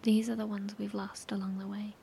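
A woman playing a weary, sombre bunker leader speaking in a hushed, breathy, measured way in a horror audio drama. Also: medium pitch, level tone, slight background noise, natural voice. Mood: mournful.

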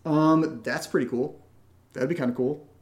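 A man's voice: a little high, steady tone, normal speed. A man co-hosting a podcast, chatting casually through listener questions with jokey, teasing banter. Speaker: podcast co-host and actor. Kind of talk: casual Q&A chat. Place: indoors in a home.

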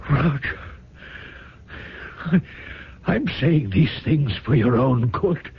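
An actor in a radio drama playing a dying old rich businessman, a moralizing, anxious father who speaks in a hoarse, halting, pleading way. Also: mid-range voice, lively intonation, a little hiss or hum. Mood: earnest, dismayed. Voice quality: hoarse, weak, gravelly. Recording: dull, band-limited old radio recording.

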